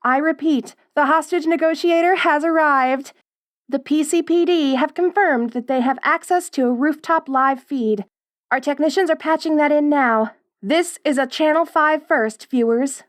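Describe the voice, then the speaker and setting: A woman voicing a polished female TV news anchor with a crisp newsreader cadence. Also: high-pitched, normal speed, no echo, clean studio sound, close to an everyday voice. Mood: newscast.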